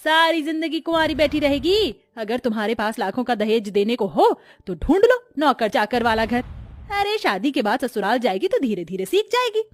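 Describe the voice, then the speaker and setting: A woman's voice: high-pitched, very animated, normal speed, no echo. A woman voicing an anxious middle-aged mother in a cartoon story, insistent and exasperated.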